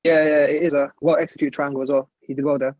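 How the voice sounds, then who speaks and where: A man's voice: fairly high pitch, level tone, fast, no room sound. A young man, talking casually as an interview guest on an internet call. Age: 17, late teens. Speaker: guest, young martial artist. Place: video call. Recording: slightly dull internet call audio.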